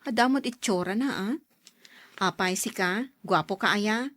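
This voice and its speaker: An actress playing an outspoken, caring sister in an Ilocano radio drama. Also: female voice, medium pitch, normal speed, a bit bright, no echo, natural voice. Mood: teasing, protesting. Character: outspoken, caring sister who scolds her brother.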